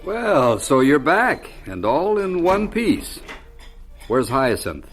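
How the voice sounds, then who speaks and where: A man's voice: fairly high pitch, wildly swooping pitch, takes it slowly, faint music behind, full character voice. An actor playing a stern farm father in a radio drama. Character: stern farm father questioning his child.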